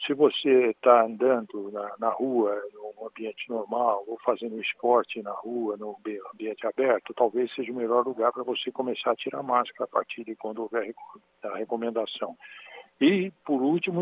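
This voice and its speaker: A man with a Brazilian Portuguese accent, a doctor taking radio interview questions, explaining in a measured, patient way. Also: medium pitch. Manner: measured, explanatory, repeats "então". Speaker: doctor guest. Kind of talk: interview answers. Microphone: phone line. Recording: tinny phone line.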